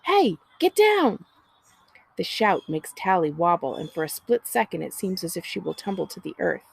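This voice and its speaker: A woman narrates a children's storybook with expression. Her voice is slightly low, with a husky grain and plenty of creak. The sound is close and dry. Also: brisk, dry recording. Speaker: librarian reading a book aloud.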